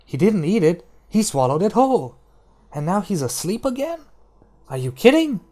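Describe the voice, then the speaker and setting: A man voicing an incredulous woman flight attendant who speaks in high, disbelieving exclamations. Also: big pitch swings, moderate pace, warm tone.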